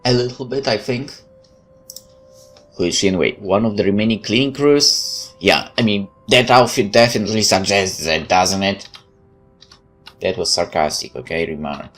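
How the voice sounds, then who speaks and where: A deep-voiced man narrates a visual novel as a let's play host. He slips between reading the text and casual side remarks. Game music plays behind him. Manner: off-the-cuff remarks between read passages.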